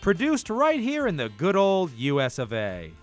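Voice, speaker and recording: A man acting a cheery, over-keen pitchman in a spoof commercial. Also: wildly swooping pitch, moderate pace, faint music behind. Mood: salesy.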